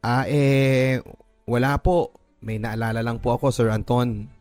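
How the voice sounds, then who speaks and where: A man's voice: mid-range voice, fairly even intonation, measured pace, a little mellow, no room sound, soft background music, close to an everyday voice. Man playing a bashful, giddy young woman in love. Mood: shy, flustered. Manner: stammering, giddy, shyly polite.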